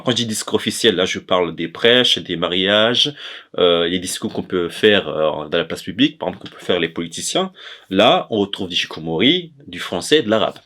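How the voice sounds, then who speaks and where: A man's voice: low voice, a bit bright. A man from the Comoros, giving an informal explanatory talk in a hesitant way, often stopping to correct himself. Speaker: presenter of an educational chronicle on language.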